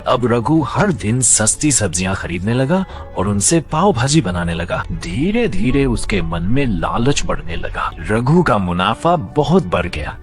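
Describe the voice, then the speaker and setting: A man's voice: lowish voice, sing-song, a little slow. A man narrating a scripted cartoon story as a storyteller. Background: faint music.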